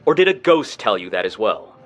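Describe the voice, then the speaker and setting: A mocking, condescending university president. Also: male voice, on the low side, big pitch swings, a little fast, fairly bright tone, faint music behind. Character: scornful university president, middle-aged man. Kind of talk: radio drama acting.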